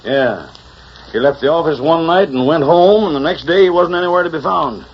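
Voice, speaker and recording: A man plays a frontier office clerk, earnest and easily flustered. He talks rapidly in old radio drama style. The recording is dull and a little noisy. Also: on the high side, lightly acted. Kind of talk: 1940s radio western acting.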